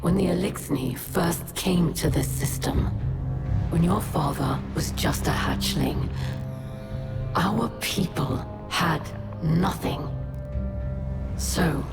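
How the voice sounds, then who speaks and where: A low, deliberate alien warlord queen, commanding and bitter. She delivers formal game-cutscene speeches with proud menace, over loud music. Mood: grave storytelling. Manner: slow, menacing, formal oratory. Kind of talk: dramatic video game cutscene acting.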